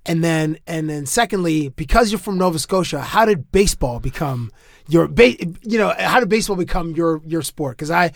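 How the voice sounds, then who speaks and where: A man's voice: on the high side, lively intonation, normal speed, no room sound, studio quality. A man hosting a casual interview, asking long, rambling questions. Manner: conversational, asks rambling questions. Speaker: podcast host.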